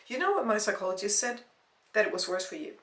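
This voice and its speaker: An earnest young man acted by a woman pleads apologetically as a storybook ex-boyfriend. The pitch is that of an average female voice. The space sounds echoing. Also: very animated, fast, fairly bright tone. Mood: pleading. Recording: roomy, echoing voice recording.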